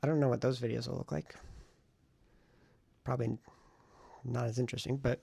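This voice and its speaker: A man with a heavily fried, husky voice talks in a loose, conversational vlog style. He thinks aloud, circling back over his points in a self-reflective ramble. The sound is dry, with very little room around the voice. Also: mid-range voice, fairly even intonation, a little fast, warm tone, no room sound. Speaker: writing vlogger and novelist. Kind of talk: casual talking-head vlog.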